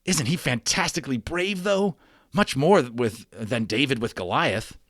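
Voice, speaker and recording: A man performs a headstrong boy from a children's storybook, impulsive and insistent. He is excitable, with raised pitch that leaps about, in a dry, close recording. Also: measured pace, no echo. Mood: thrilled. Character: headstrong, excitable young boy, the hero's friend.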